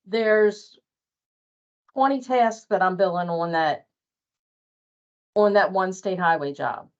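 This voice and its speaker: Female workplace trainer, mid-pitched and slow-paced, pressing each point firmly in an informal talk. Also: highs cut off. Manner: emphatic, repeats points for stress. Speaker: billing staff trainer.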